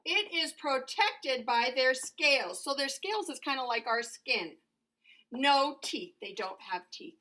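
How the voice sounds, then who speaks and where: Bright, high-voiced woman teaching young children. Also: unhurried. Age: middle-aged. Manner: animated questions and answers, simple explanatory phrasing for children. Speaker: elementary school teacher. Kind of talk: lesson for young children, read to camera.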